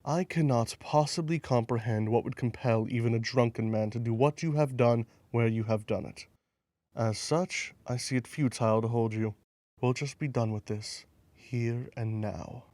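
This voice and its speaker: A man's voice: medium pitch, moderate pace, darkish tone, no room sound, lightly acted. A man playing a cold, menacing ruler and father in an audio drama, speaking in a blunt, formal way. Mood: cold, controlled anger.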